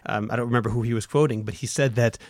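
A man's voice: medium pitch, steady tone, racing, no echo, studio quality. A man hosting a podcast, asking questions and reading the closing remarks fluently. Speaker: podcast host. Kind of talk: podcast interview.

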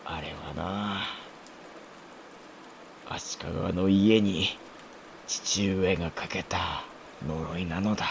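A man playing a dying older samurai lord, an anguished father speaking halting, archaic lordly Japanese in a historical audio drama. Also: low-pitched, fairly even intonation, creaky, slight background noise, heavily acted. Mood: grave confession.

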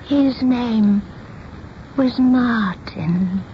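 An actress playing a timid, childlike, reclusive spinster seamstress, hesitant and stammering, in a 1970s radio drama. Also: female voice, a little high, very slow. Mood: dreamy, wistful. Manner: hesitant, stammering, repeats words. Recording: noisy, dull old radio broadcast.

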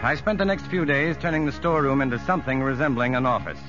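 An actor playing an earnest, educated prison psychologist, somewhat uneasy, in a 1950s radio drama. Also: male voice, mid-range voice, quick pace, loud music behind the voice, close to an everyday voice. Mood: narrating. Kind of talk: 1950s radio drama acting with first-person narration. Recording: muffled 1950s radio recording.

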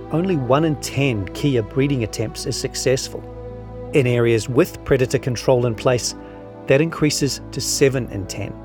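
A man narrates a scripted long-form article, reading out both the reporting and the quotations. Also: medium pitch.

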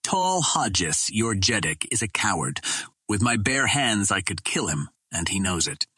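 Guy playing defiant hero captain giving a rousing challenge, forceful husky voice, pulp audiobook. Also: lowish voice, sing-song, a little slow, dry recording, full character voice. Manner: oratorical, challenging. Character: bold, defiant human captain rousing alien chieftains.

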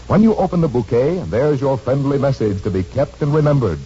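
A man's voice: medium pitch, very animated, fairly quick, mellow. A man reading scripted 1940s radio announcements and advertising in a polished, emphatic salesman's manner. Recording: muffled, noisy vintage radio broadcast, hum.

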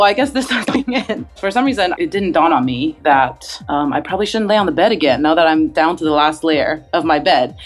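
A young woman with a notably low voice tells a personal story as a podcast guest. She narrates in an animated way, leaning into the telling. Music plays faintly behind her. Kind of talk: personal anecdote.

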